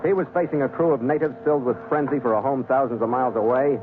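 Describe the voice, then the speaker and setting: A man's voice: mid-range voice, fast, background noise, close to an everyday voice. A man playing a tough, wary sea captain who narrates and acts in a 1940s radio adventure in a terse, wry manner. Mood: tense, determined. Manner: terse, hard-boiled, wry. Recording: narrow old 1940s radio recording, music.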